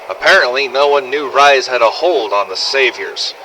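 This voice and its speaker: Man acting brash, sharp-voiced zombie apocalypse survivor. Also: mid-range voice, normal speed, a little hiss or hum, lightly acted, rough recording. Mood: matter-of-fact recounting. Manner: blunt, sardonic, swearing, conversational storytelling. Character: brash, foul-mouthed adult male survivor in zombie apocalypse. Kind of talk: scripted first-person audio log narration.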